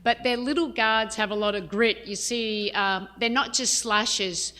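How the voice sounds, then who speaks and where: A woman head coach answering reporters' questions at a press conference, frank and measured, with plenty of "um" and "you know". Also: mid-range voice, fairly even intonation, sharp tone. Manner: frank, measured, many fillers.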